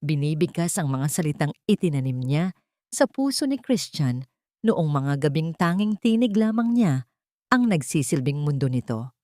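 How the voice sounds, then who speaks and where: A woman's voice: deep voice, animated, normal speed, warm tone, dry recording, clean studio sound. A woman with a Filipino accent narrating a scripted dramatic story as a storyteller.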